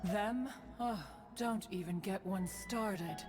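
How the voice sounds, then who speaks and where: A woman's voice: medium pitch, slow, lightly acted. A woman voicing a haughty ancient female crane spirit in a game cutscene, speaking in a stately, archaic way. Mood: gracious. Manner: stately, archaic phrasing, refers to herself as "one". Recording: game audio with long reverb and soft music.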